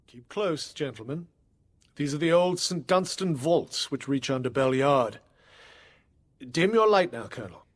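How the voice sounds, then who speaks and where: A husky, commanding investigator. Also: male voice, fairly high pitch, expressive. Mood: hushed, commanding. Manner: measured, commanding, formal. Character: authoritative, secretive gentleman investigator leading officials. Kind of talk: period audio drama acting.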